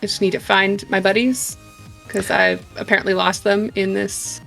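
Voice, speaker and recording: A woman's voice: mid-range voice, little pitch movement, normal speed, vocal fry, fairly bright tone, faint music behind, rough recording. A woman playing a tabletop game, chatting casually as she works out her turn. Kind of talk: casual chat during a game.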